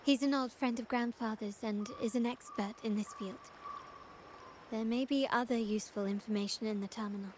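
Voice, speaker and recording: A woman playing a poised young noblewoman in a video game, speaking in a measured, formal, commanding way. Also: on the high side, fairly even intonation, a little mellow, slight background noise, close to an everyday voice. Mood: composed, businesslike. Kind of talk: scripted video game character acting.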